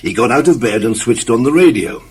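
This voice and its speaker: A man's voice: mid-range voice, lively intonation, a little fast, darkish tone, breathy edge. A man narrating a scripted children's story expressively, with emphatic phrasing and asides to the listener.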